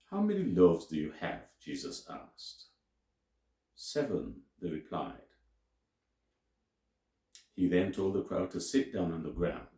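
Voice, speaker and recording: A man reading scripture aloud as a teacher, in a solemn, deliberate and emphatic way with dramatic pauses. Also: deep voice, big pitch swings, dark tone. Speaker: Bible reader and teacher. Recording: roomy, echoing sound.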